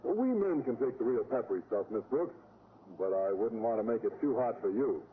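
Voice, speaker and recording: A crackly vintage radio comedy recording with clear noise features a mild male teacher, played by an actor. He is polite and naive, with a lively, earnest lilt. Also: medium pitch, normal speed, close to an everyday voice. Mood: earnest, oblivious. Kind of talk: old-time radio comedy acting. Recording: scratchy, tinny old radio recording.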